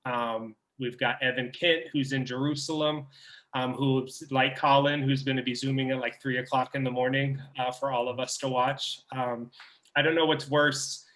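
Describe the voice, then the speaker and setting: Bright-voiced man chatting on a video call. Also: medium pitch, fairly even intonation, moderate pace, poor audio. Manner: hesitant, many fillers and restarts. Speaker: theater staff member. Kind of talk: casual conversation on a video call.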